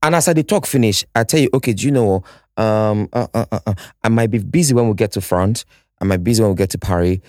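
A man's voice: fairly low pitch, quick pace, darkish tone, dry recording, studio quality. A man in his forties with a Nigerian accent, hosting a casual podcast debate, teasing and pressing for answers and mixing in pidgin. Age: 40s. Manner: teasing, insistent, mixes pidgin. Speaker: podcast host.

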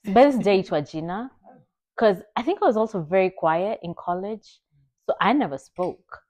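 A woman's voice: medium pitch, animated, normal speed, a little mellow, dry recording. A young woman in a casual chat, rambling and restarting her sentences. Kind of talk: casual chat switching between Swahili and English.

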